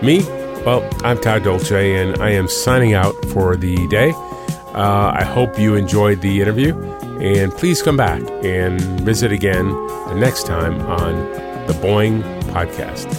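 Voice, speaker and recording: A man's voice: fairly low pitch, measured pace, loud music behind the voice. A man, hosting and signing off a podcast, rambling and joking as he goes. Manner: rambling, self-interrupting, jokey. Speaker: podcast host. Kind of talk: casual podcast sign-off.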